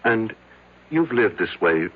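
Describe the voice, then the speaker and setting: An actor in a radio drama playing a patient psychiatrist who prompts with short, probing questions. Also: male voice, fairly low pitch, sing-song, takes it slowly. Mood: curious, incredulous. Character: patient, probing male psychiatrist drawing out his patient. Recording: dull, noisy old radio broadcast.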